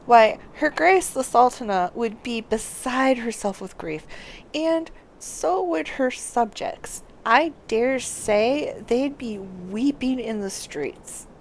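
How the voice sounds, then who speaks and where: Woman reading as a slow, deferential, worried servant. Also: a little high, expressive, slight background noise. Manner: formal, pleading, reading quest text aloud. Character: deferential, worried servant pleading with a noble lady.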